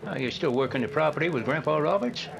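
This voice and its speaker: A man's voice: a little high, lively intonation, quick pace, vocal fry, noisy background. A man playing a friendly, chatty small-town cafe man in a radio drama, folksy and rambling. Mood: friendly, gossipy. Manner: folksy, rambling, stop-and-start delivery. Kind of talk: old-time radio drama acting.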